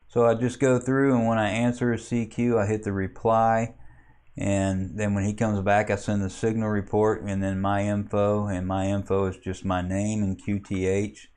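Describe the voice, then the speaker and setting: A man with a low, very even voice walks through software on screen. His tone is clean and smooth, and he explains each step plainly, stopping and starting as he goes. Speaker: amateur radio hobbyist giving a software tutorial. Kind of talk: unscripted screen walkthrough.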